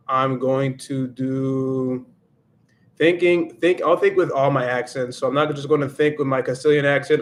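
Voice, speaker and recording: A man hosting a casual livestream, thinking aloud in a reflective way and slipping into Spanish now and then. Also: medium pitch. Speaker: livestream host and Spanish learner.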